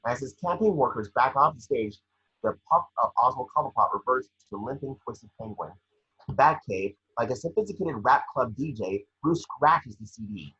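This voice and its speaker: A man with a husky, raspy voice reading scene descriptions for a screenplay table read in a brisk, vivid storytelling way. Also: mid-range voice, animated, pushed and bright, a little hiss or hum. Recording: dull lo-fi call audio.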